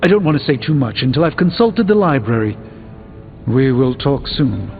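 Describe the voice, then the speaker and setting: Solemn middle-aged sorcerer, formal measured delivery, video game dialogue, narrow tinny audio, music underneath. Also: male voice, mid-range voice, sing-song. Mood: measured, intent. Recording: narrow phone-like sound, music behind.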